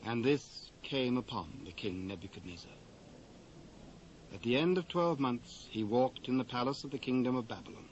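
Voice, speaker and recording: A British man narrating scripture from a script in a solemn, measured way. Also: medium pitch, animated. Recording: dull cassette transfer, faint hiss.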